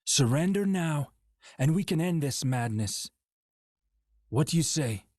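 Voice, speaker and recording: A man's voice: a little high, expressive, measured pace, a little mellow, no echo, studio quality. An actor playing an angry, impatient young male warrior with a confrontational manner in a game cutscene. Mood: defiant. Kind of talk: dramatic game cutscene acting.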